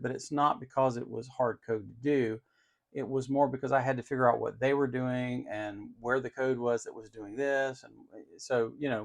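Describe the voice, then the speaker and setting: Creaky-voiced man demonstrating electronics. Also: mid-range voice. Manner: explanatory, opinionated, emphatic on key words. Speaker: host of an electronics channel. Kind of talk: unscripted tech demonstration.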